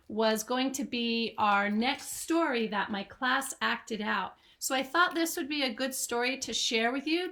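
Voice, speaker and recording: A woman's voice: fairly high pitch, a bit bright. A woman who teaches children, reading a picture book aloud expressively and chatting to young listeners. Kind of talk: children's storytime reading and chat.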